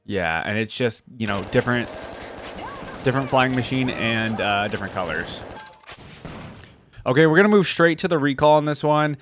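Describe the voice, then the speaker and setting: A man's voice: mid-range voice, lively intonation, moderate pace, pauses often, background noise. A man giving casual, enthusiastic reaction commentary as a gaming video host, full of exclamations and slangy phrasing.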